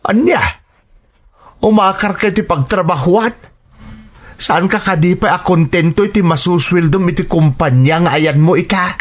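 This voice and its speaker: A man's voice: sits high, moderate pace. A man playing a demanding, resentful middle-aged father with an Ilocano Filipino accent, scolding and questioning insistently in a radio drama. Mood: scolding, indignant.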